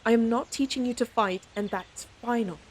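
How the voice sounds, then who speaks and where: Woman playing a proud, high-voiced, exasperated lady knight. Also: moderate pace, close to an everyday voice. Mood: defensive, haughty. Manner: stern, scolding, exasperated. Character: proud, exasperated young lady knight, secretly fond, tsundere. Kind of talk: audio roleplay acting, speaking to the listener.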